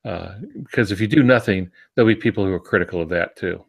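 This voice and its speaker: A man's voice: sits low, fast talker, gravelly. An older man co-hosting a casual podcast discussion about writing. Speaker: podcast co-host and writer.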